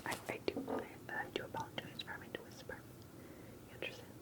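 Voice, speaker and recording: A woman speaking in a soft, breathy whisper, gently and carefully asking questions in an ASMR interview roleplay. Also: quick pace.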